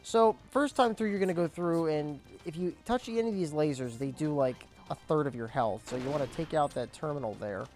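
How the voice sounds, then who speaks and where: Young man, let's play commentary, chatty, voice squeaks and cracks, quiet music underneath. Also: brisk, hardly any pauses. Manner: chatty, explains objectives as he plays. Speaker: gameplay commentator.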